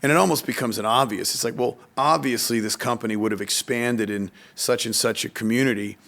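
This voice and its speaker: A man talking conversationally on a podcast, telling anecdotes in a halting way and often repeating words as he explains. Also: medium pitch, gravelly, fairly bright tone. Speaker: economic development official, podcast speaker.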